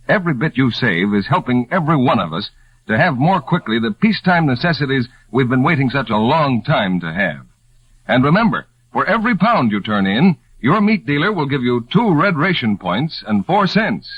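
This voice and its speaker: A man's voice: mid-range voice, breathy edge, no echo. A man as a 1940s radio announcer, reading a scripted appeal in an earnest, urging manner. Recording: dull, lo-fi 1940s radio broadcast.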